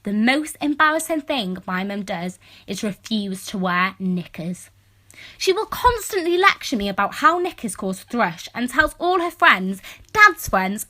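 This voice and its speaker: A woman's voice: on the high side, sharp tone, heavily acted. A woman voicing an exasperated, sardonic sixteen-year-old girl in an audiobook, telling her story in a wry, emphatic, complaining way. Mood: scandalised, exasperated. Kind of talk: first-person audiobook narration.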